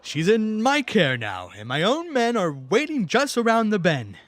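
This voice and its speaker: A man playing a young knight posing as a pompous, commanding high priest in a fan audio drama. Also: huge pitch swings, moderate pace, fairly bright tone, no room sound, full character voice. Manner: commanding, mock-lordly.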